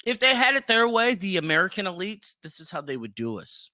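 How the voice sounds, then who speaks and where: Man, podcast host, bright forceful voice, slightly high pitch, emphatic, profane, opinionated solo commentary. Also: big pitch swings, a little fast, no room sound, studio quality.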